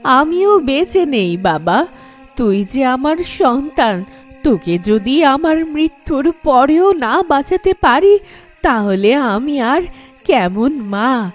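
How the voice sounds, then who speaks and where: A woman voicing the sorrowful, protective ghost of a village mother in a horror cartoon, pleading and warning in drawn-out words. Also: high voice, big pitch swings, soft background music, heavily acted. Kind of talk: Bengali horror cartoon voice acting.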